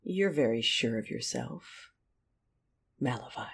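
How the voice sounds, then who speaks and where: A woman acting a proud, passionate dark lady in an audio drama, narrating in the first person with intense, deliberate delivery. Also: rumbling low, animated, gravelly, breathy edge, full character voice. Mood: coolly commanding. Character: proud dark Sith lady, commanding, passionate, wounded by betrayal. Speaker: narrator and lead character. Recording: music under the voice. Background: music.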